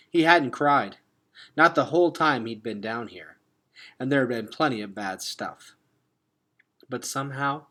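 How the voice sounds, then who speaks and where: A man reading a children's novel aloud with expressive, dramatic emphasis, sometimes hushed. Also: medium pitch. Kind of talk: expressive storybook read-aloud.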